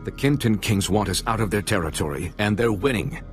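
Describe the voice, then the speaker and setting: An actor playing a formal, earnest and worried ambassador in a video game. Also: male voice, lowish voice, lively intonation, fairly quick, soft background music, lightly acted. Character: troubled, formal male ambassador. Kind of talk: video game character dialogue.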